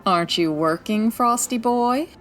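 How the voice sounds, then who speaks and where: A woman's voice: fairly high pitch, a little slow, faint music behind, natural voice. A woman playing a confident, wry 1940s private detective in a radio-style comedy drama, breezy and teasing. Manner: breezy, teasing, self-assured.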